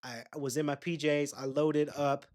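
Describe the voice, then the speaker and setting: A man's voice: on the high side, little pitch movement, normal speed, darkish tone. A young man, hosting a podcast and telling a rambling, chatty story straight to his listeners. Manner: rambling, chatty, addresses listeners directly with asides. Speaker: podcast host. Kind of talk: casual solo storytelling.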